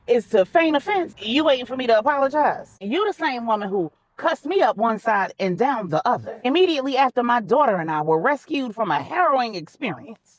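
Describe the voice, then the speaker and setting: Woman playing blunt, animated older mother. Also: fairly high pitch. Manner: blunt, sassy, pointed. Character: blunt older mother, unafraid, scornful friend.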